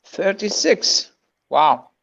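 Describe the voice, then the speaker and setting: A man's voice: sits high, big pitch swings, drawn-out words, vocal fry, fairly bright tone, lo-fi sound. A man in his twenties chatting playfully, often stumbling and repeating words. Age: 20s. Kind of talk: casual group chat.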